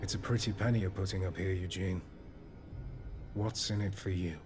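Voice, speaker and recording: An actor plays a gruff male mercenary hunter in a game cutscene. His voice is very low, and he talks in a blunt, sardonic, doubting way over clear background music. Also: fairly even intonation, moderate pace, natural voice. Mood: wary interest. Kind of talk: video game cutscene acting.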